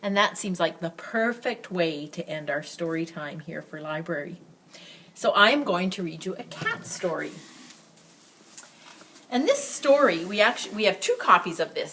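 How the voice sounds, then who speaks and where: A woman reads aloud in the style of a children's story time. Her phrasing is expressive and conversational, with animated rises and falls in pitch. There is some ambient noise in the recording. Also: low-quality recording. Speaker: story time reader for a school library. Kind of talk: read-aloud picture book storytelling for children.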